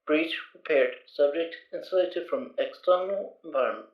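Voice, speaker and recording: Guy doing a stiff suit computer voice, slightly high, echoey and far from the mic. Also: moderate pace, natural voice. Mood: monotone. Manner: clipped system-status announcements. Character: automated armor suit computer voice, flat and procedural. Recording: roomy, distant, slightly dull audio.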